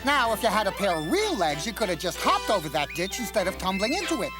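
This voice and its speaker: A woman's voice: medium pitch, very animated, fast, lightly acted. A woman voicing a boastful, smug cartoon hare who teases and mocks. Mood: mock sympathy, teasing. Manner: mocking, smug teasing. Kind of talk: animated cartoon voice acting. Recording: music behind the voice.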